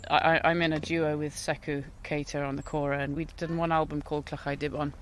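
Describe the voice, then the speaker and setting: A woman's voice: deep voice, flat delivery, a little hiss or hum. Thoughtful Welsh woman on a hill walk. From Wales. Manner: thoughtful, hesitant, often pauses mid-phrase. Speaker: guest musician. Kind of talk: casual outdoor interview.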